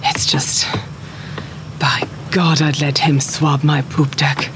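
An eager pirate crewman of comic audio drama, played by a man, talks slowly in a smitten, flustered way. Clear noise sits behind him. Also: a little high, level tone. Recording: noisy ambience behind the voice.

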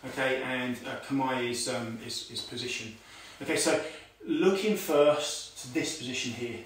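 A man's voice: medium pitch, moderate pace. A man in his forties teaching a karate lesson at home, explaining moves as he demonstrates them, with many "okay"s. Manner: talks while demonstrating moves, calls out commands. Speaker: karate instructor. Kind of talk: online martial arts lesson. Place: home room with tiled floor. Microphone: far camera on a stand. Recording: echoey room, voice from far off.

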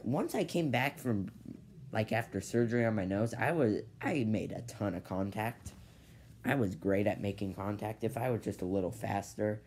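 Rambling young man, mellow low voice. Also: vocal fry, a little hiss or hum. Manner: rambling, restarts, many "basically"s. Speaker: vlogger. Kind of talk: casual storytelling to camera. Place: bedroom with ceiling fan, indoors. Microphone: device camera at low angle.

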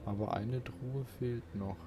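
A man's voice: medium pitch, fairly even intonation, a little slow, over music. A man giving casual let's play commentary, thinking aloud and muttering questions to himself.

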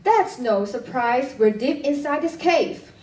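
A woman's voice: high-pitched, animated, measured pace, darkish tone. A teenage girl acting a curious, matter-of-fact schoolgirl explorer in a radio drama. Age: teens. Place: stage of a studio theatre. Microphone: far camera. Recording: echoey theatre, distant camera, faint noise.

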